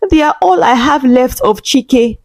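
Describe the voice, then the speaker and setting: A woman's voice: high-pitched, expressive, measured pace, darkish tone, dry recording, studio quality, pitched above the performer's own voice, full character voice. A woman playing a proud, deceitful older mother-in-law in a narrated story, imploring and indignant. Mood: tearful pleading.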